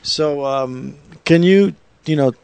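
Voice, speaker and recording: A man's voice: on the high side, slow pace, creaky, darkish tone, clean tone, dry recording. A man co-hosting a radio interview, jumping in with emphatic remarks peppered with "you know". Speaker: radio co-host.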